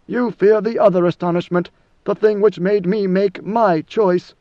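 A man voicing a cold, commanding ancient ruler in a measured, lofty way. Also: high-pitched, steady tone, no echo, dull audio. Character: ancient imperious male god-emperor, coldly commanding.

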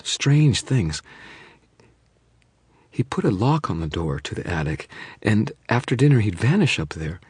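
A man voicing a frightened young mother who pleads and confesses in a rush, then drops to a hush. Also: lowish voice, breathy edge, dry recording, voice pushed up, exaggerated voice. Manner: breathless pleading, rushing then hushed.

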